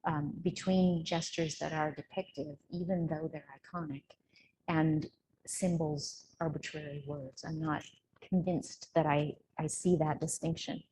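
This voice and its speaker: A woman with a somewhat low voice delivers an academic talk. She argues her points emphatically and with clear opinions. The recording carries a light layer of room noise. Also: fairly even intonation, measured pace. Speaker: conference speaker, researcher. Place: indoor conference room.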